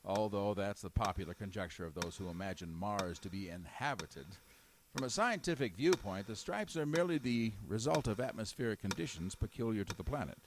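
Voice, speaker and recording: Man doing a calm astronomy professor, lowish voice, measured, noisy old radio recording. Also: lightly acted. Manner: measured, dismissive. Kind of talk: 1930s radio drama acting.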